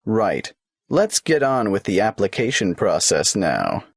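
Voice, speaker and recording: A man's voice: lowish voice, sing-song, unhurried, creaky, slightly rough, no room sound, studio quality, exaggerated voice. A man playing a tax office clerk in a scripted exam dialogue, patiently asking form questions in a matter-of-fact way. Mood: businesslike. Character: polite adult male government clerk taking an application.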